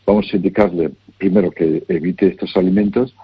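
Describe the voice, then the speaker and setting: A man giving health advice, measured and instructive, carefully repeating the names of remedies. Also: deep voice, steady tone, husky, highs cut off. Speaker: health advisor. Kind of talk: advisory call-in consultation.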